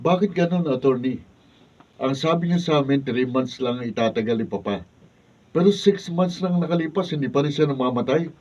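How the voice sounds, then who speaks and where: An actor playing a resentful, greedy grown son in a radio drama. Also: male voice, mid-range voice, brisk, a little mellow, close to an everyday voice. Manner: sulky, resentful. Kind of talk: radio drama acting, read from script. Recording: lo-fi, mic from a distance.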